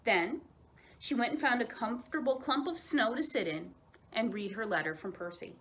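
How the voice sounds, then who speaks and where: A woman telling children's stories aloud as a library storyteller, expressive, with dramatic emphasis and pauses. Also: on the high side, a little hiss or hum.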